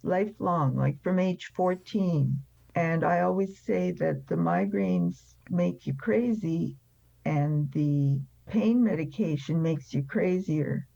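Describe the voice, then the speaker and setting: An older woman speaks as an interview guest with a crackly, fry-laden voice. She is blunt and emphatic as she shares personal experience. The recording is dry and slightly dull. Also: lowish voice, slow, mellow, no room sound. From France. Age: 70s or older. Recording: slightly dull, band-limited sound.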